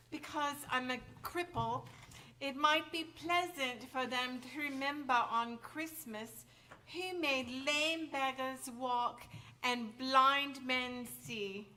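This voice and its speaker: A high, bright little boy, played by a woman, frail, sweet and full of hope. He speaks very slowly and earnestly, as in an old-fashioned radio play on stage, with some noise behind him. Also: full character voice, poor audio. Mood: earnest, gentle. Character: small frail pious boy, sweet and hopeful. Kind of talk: stage radio drama acting.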